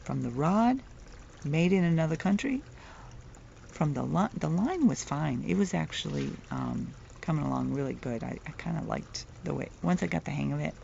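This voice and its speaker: A middle-aged woman with a soft voice, telling a playful, chatty vlog story outdoors and laughing at her own jokes. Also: low voice, sing-song, moderate pace, vocal fry, a little hiss or hum. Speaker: vlogger. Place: outdoors, near the water. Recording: dull lo-fi outdoor recording.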